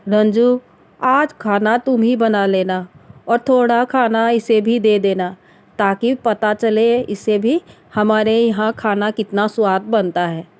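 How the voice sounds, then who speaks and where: A woman's voice: medium pitch, fairly even intonation, takes it slowly, darkish tone, smooth, close to an everyday voice. A woman voicing a sarcastic, bossy older mother-in-law in a cartoon family drama, taunting and commanding.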